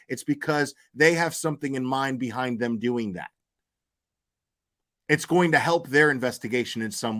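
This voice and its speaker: Male livestream host, fairly high voice, opinionated off-the-cuff commentary, blunt and argumentative delivery. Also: fairly bright tone. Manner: blunt, argumentative, coarse-mouthed.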